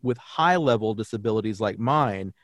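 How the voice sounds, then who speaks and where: A man's voice: on the low side, expressive, normal speed, fairly bright tone, no room sound. Earnest man with a dry, close sound. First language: English. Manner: earnest, reflective, with frequent restarts. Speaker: German teacher and podcast guest. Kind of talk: conversational podcast interview.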